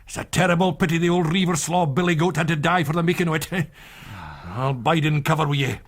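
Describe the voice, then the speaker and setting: An actor playing a canny, worldly Scottish villager with broad dialect and a wry, scheming manner in a period radio drama. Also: male voice, on the high side, steady tone, fast, close to an everyday voice. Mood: gleeful, bold.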